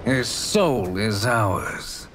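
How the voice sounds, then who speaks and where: An actor playing a grim, ruthless fighter with a deliberate, menacing delivery in a video game. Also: male voice, lowish voice, expressive. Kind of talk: dramatic video game acting. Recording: music behind the voice.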